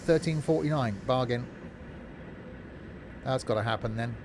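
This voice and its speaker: A man chatting casually and jokily as he live-streams a game, replying to viewers in an informal way. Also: measured pace. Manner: informal, jokey, chatty asides. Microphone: streaming microphone through a mixing desk. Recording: constant background noise, vehicle rumble.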